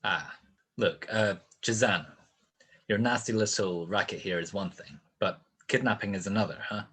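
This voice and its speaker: An actor playing a tough, sardonic private detective and narrator in 1940s radio style. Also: male voice, on the low side, normal speed, fairly bright tone, husky. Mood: menacing. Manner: sardonic, wisecracking.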